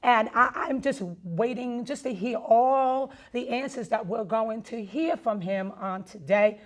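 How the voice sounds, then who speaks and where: A woman's voice: fairly high pitch, measured pace. Enthusiastic woman hosting a talk show. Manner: enthusiastic, repeats herself, short affirmations.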